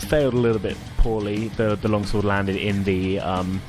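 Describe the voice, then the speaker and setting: A man's voice: fairly low pitch, fairly even intonation, normal speed, over music. A man working as game master, narrating casually in an informal, hesitant way. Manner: informal, hesitant, chuckling asides.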